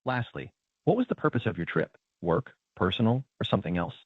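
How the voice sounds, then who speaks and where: A man's voice: fairly low pitch, very animated, brisk, slightly rough, no echo. A man's synthetic voice acting as a polite, procedural automated claim agent with scripted customer service lines. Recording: narrow tinny phone-like sound.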